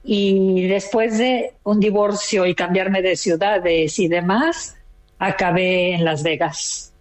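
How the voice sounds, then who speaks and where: A woman's voice: mid-range voice, fairly even intonation, slow. A retired older woman from Mexico with a Mexican accent, chatting proudly as a caller on a radio talk show. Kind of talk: casual chat.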